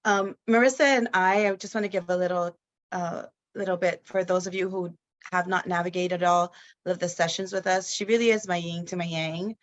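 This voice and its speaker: A woman from Hawaii presenting a webinar, speaking slowly with many pauses and fillers. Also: fairly low pitch, steady tone. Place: at home in Hawaii. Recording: dull lo-fi video call audio.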